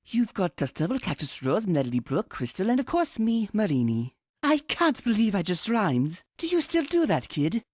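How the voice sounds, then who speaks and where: A woman voicing a lively, friendly and teasing female pony in a cartoon comic dub. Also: medium pitch, moderate pace, few pauses, dry recording, studio quality, pitched above the performer's own voice. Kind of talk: fan comic dub voice acting.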